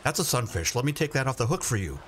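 An actor playing a gentle, patient retired grandfather figure who likes fishing, in a radio play. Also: mid-range voice, very animated, fast, heavy background noise, close to an everyday voice. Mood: patient, coaching. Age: older.

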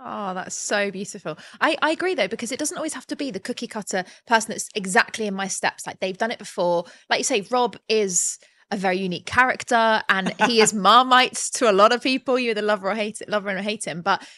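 A woman's voice: mid-range voice, quick pace, bright, forceful tone, no echo. A woman hosting a podcast interview. She asks rambling questions and encourages her guest. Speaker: podcast host.

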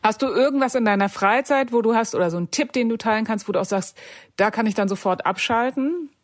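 A woman's voice: on the high side, quick pace, no echo, clean studio sound. A woman interviewing a guest, asking probing follow-up questions. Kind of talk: conversational interview.

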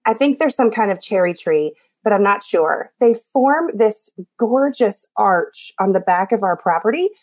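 A woman's voice: mid-range voice, a little mellow, no echo. A woman podcast host with an Appalachian accent, her voice scratchy from allergies, talking in an encouraging, chatty way with small jokes. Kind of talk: scripted podcast intro and casual chat.

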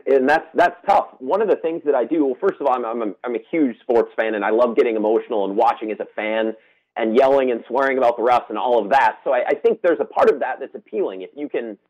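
Fast-talking young man. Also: medium pitch, lo-fi sound. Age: 20s. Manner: earnest, eager, quick-talking. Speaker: student radio host, guest. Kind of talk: casual interview chat.

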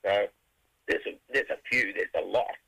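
A middle-aged Australian man with a raspy voice, a rock band singer answering interview questions in a rambling way, full of fillers and restarts. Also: fairly low pitch, moderate pace, bright, forceful tone, rough recording.

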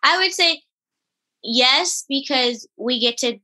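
A woman in her twenties, an elite gymnast and interview guest, talking in a relaxed, giggly way with many fillers. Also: sits high, slow pace. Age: 20s. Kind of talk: casual interview chat.

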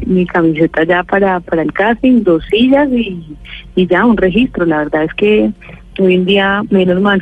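A Colombian woman speaks over a tinny, phone-like line with a dry sound. She is an actress being interviewed, and she talks at length with frequent fillers. Her pace stays relaxed and thoughtful. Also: lowish voice, slightly rough, no room sound. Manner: thoughtful, many fillers and restarts.

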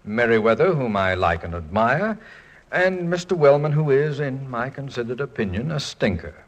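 A man acting as a middle-aged American college president in an old radio play. His pitch is medium and swings expressively as he speaks in wordy, pedantic phrases with dry wit and affection. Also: sing-song, moderate pace, slight background noise, natural voice. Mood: wry, indignant. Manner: pedantic, wordy, dryly humorous. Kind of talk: 1940s American radio comedy-drama acting.

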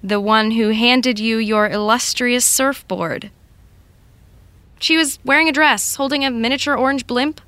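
A woman playing a brisk, efficient, wry assistant on a TV set in a naturalistic podcast drama. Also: fairly high pitch, sharp tone, no room sound. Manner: brisk, teasing, organized. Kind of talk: naturalistic fiction podcast acting.

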